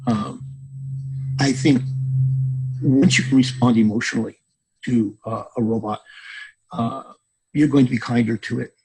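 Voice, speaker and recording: A man is talking as a guest author in a podcast interview. His voice is very husky and rough at a middling pitch. He speaks hesitantly, often repeating a word before he moves on. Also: steady tone, mellow, low-quality recording. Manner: halting, hesitant, repeating words.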